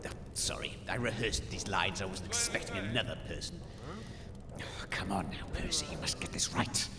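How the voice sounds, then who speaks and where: A man's voice: low-pitched, moderate pace, over a noisy crowd. A man playing a pompous, theatrical, easily flustered cult villain in a comic audio drama, grandiose and given to muttering. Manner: grandiose, menacing, muttering to himself. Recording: noisy, long cavernous reverb.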